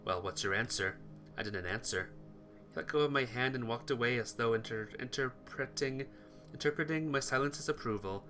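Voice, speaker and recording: A man's voice: mid-range voice, a little fast, fairly bright tone, soft background music. A man reading visual novel text aloud as dramatic, earnest, emotive story narration for a playthrough.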